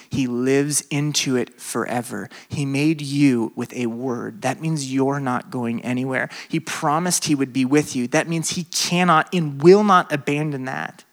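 A young adult man, a pastor with a slight speech impediment, preaching a lively sermon to students, swinging from loud bursts to hushed stretches. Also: fairly high pitch. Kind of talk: sermon to a college crowd.

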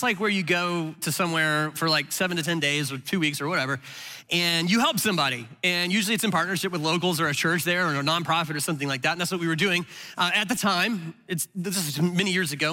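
Middle-aged male pastor, quick and bright, casual storyteller with restarts, big reverberant hall. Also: a little high. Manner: conversational, rambling with restarts. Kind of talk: sermon storytelling. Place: large hall with audience.

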